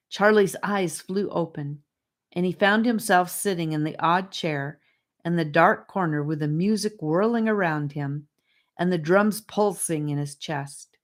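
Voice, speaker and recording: Slow, lower-pitched woman reading a storybook. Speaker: audiobook narrator. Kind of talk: scripted storybook narration.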